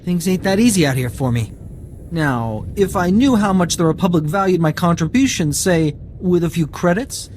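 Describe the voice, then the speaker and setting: An actor playing an aggrieved, shifty informant in a video game, whining and wheedling for payment. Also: male voice, high-pitched, lively intonation, normal speed, darkish tone, slight background noise. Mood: wheedling, resentful. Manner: whiny, indignant, wheedling.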